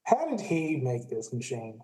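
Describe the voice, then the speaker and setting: A man's voice: medium pitch, huge pitch swings, unhurried, warm tone. A man playing a laid-back, skeptical friend with a casual way of talking, in an amateur radio play. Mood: incredulous.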